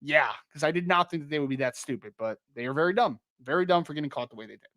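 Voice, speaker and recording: A young man chats on his podcast in a loose, rambling way, laughing easily and circling back on his words. His voice sits a little high and sounds slightly worn, as if he is losing it. The sound is dry and close. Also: animated, fast, fairly bright tone, no room sound. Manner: chatty, rambling, laughs easily, many restarts and "like". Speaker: podcast host. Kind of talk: casual live-stream podcast chat with chat readers.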